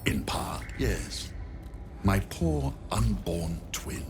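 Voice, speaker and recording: A cold, arrogant necromancer drawls his contempt in a low, rasping voice. His pitch swings widely as he gloats. Heavy ambient game noise sits under him. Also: lively intonation, full character voice. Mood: gloating. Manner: haughty, disdainful, slow and deliberate. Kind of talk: video game cutscene voice acting.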